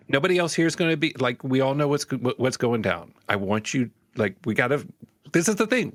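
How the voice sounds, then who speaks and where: A man with a mid-pitched voice, recorded dry and close. He plays a tabletop game, working things out aloud in loose, emphatic bursts of casual talk. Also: fairly bright tone, no echo. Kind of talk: casual improvised role-play chat.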